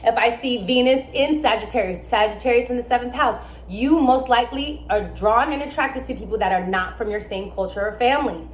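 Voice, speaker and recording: A young adult woman with a fairly high voice talks through astrology in a casual, emphatic way. Her voice rings in an echoey room with a faint hum of ambience behind it. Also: brisk, hardly any pauses. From born in Germany, raised in America. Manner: animated, emphatic, with asides and laughs.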